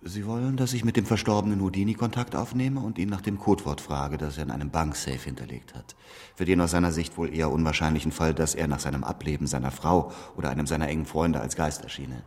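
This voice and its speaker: An actor playing a polite, theatrical clairvoyant with a halting, dramatic delivery in a German radio drama. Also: male voice, very deep voice, fairly even intonation, darkish tone. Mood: assured.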